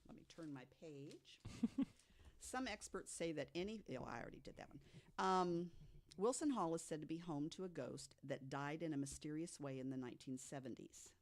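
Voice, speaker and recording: Podcast co-host, mid-pitched, deliberate delivery from notes, conversational with emphatic asides. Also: expressive. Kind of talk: storytelling from notes to co-hosts.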